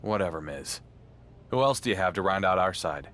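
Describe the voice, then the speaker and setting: A guarded champion wrestler in a video game story. His voice sits fairly low. He sounds skeptical and terse, slow to trust. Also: animated, fairly bright tone. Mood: wary.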